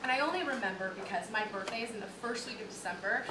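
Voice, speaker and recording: A woman's voice: very fast, background noise. A woman in her thirties performing a storytelling monologue on stage, laughing as she tells it and mimicking her customers. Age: 30s. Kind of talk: theatrical monologue. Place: theatre stage with live audience. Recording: roomy theatre sound from a distance. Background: upright bass, audience laughter.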